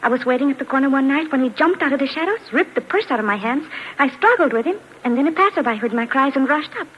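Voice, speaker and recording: A woman's voice: high-pitched, animated, fast. A woman playing an earnest, frightened foreign immigrant in a 1950s radio drama, speaking in formal, careful phrases. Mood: earnest recounting. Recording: dull, faintly noisy vintage radio recording.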